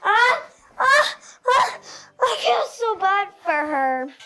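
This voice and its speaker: Piercing, squeaky pantomime dwarf. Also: female voice, sing-song, slow pace, bright, forceful tone, heavily acted. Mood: dismayed. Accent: North-East Scottish Doric. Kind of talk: comic pantomime dialogue.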